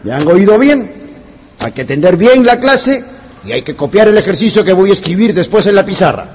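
A man's voice: high-pitched, lively intonation, fairly quick, a little mellow, breathy edge. A man playing a stern, formal schoolteacher in a radio drama, with an authoritarian way of addressing pupils. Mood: instructive. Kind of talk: Spanish-language radio drama acting.

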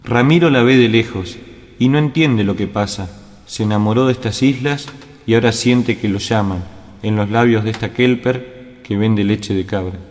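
A man's voice: on the low side, moderate pace, a little mellow, lightly acted. A man reciting poetic narration for a radio drama in a lyrical, cadenced way. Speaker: verse narrator. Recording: long hall reverb.

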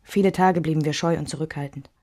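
A woman's voice: deep voice, fairly even intonation, quick pace, mellow. An actress playing a reflective, restrained woman recalling her married life in a scripted radio drama.

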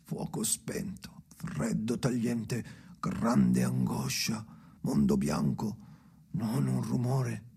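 An actor playing a tormented, bitter ageing man in a radio drama monologue, raspy and often sinking to a whisper, speaking in slow, halting fragments. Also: lowish voice, sing-song, mellow, exaggerated voice. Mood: bleak, hushed. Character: tormented ageing man haunted by his dead father, brooding, bitter.

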